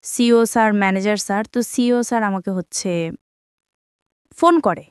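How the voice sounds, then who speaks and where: A woman's voice: mid-range voice, fairly even intonation, normal speed, smooth, dry recording, studio quality. A young woman with a Bangladeshi accent telling her own life story conversationally, relaying remembered dialogue as she goes. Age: young adult.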